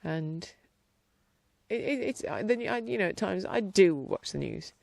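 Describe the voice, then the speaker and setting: A woman host chatting casually outdoors in her garden, rambling along with a blocked-up nose and dropping quieter at times. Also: mid-range voice, animated, measured pace, vocal fry, no echo. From England. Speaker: podcast host. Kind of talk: casual chat.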